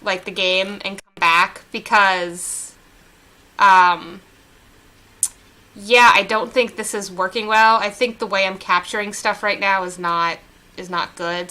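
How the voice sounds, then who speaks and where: A woman's voice: mid-range voice, bright, forceful tone. A woman streaming a video game, chatting casually and rambling with lots of fillers. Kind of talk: casual let's-play chat.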